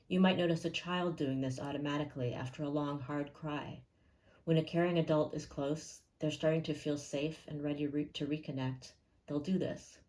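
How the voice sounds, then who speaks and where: A woman leading a guided meditation in a calm, gentle and unhurried way, leaving pauses between phrases. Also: sits low, rough recording.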